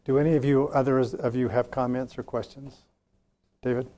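A man's voice: medium pitch, flat delivery, normal speed, dark tone, slight background noise. A man moderating a question and answer session, speaking as the chair to a group.

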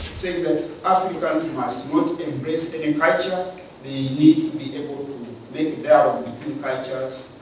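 A man from Uganda giving a measured, lecture-style talk on the church's role in society, pausing and restarting his phrases. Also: a little high, a little mellow, a little hiss or hum. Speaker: speaker on church and state. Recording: echoey room from far off.

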